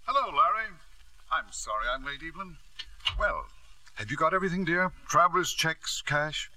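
Smug hearty middle-aged tycoon, old radio play, very sharp forceful voice, pitch all over. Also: male voice, lively intonation, moderate pace, slight background noise, low-quality recording. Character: self-satisfied, genial, domineering middle-aged male tycoon knight. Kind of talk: 1950s radio drama acting.

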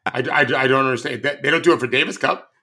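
A man who coaches basketball, co-hosting a sports podcast and giving blunt, loud opinions in a casual way. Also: mid-range voice, quick pace.

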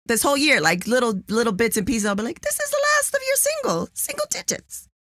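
A woman hosting a casual interview, enthusiastic and expressive, laughing often. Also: fairly high pitch, a little fast, no echo, clean studio sound. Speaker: interview host.

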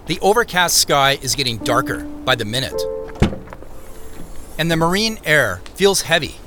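An actor playing a reflective, quietly content man, narrating his own journey in an understated, confiding first-person drama style. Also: a little high, sing-song, normal speed. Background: music bed.

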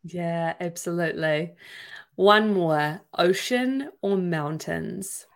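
A woman's voice: lowish voice, slow. A woman working as a podcast host, speaking in an effusive, encouraging way as she introduces and interviews.

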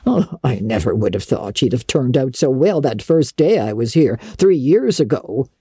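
A woman's voice: rumbling low, sing-song, normal speed, mellow, husky, no room sound, clean studio sound, exaggerated voice. A woman voicing a blunt, opinionated older country woman with folksy, emphatic speech in a storybook reading.

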